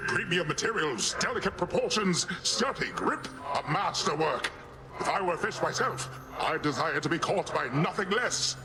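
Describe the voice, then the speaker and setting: A man's voice: on the high side, animated, moderate pace, poor audio. A man acting a boastful, goofy middle-aged sea god uncle in a video game, with a grand, jovial theatrical delivery. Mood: proud, admiring.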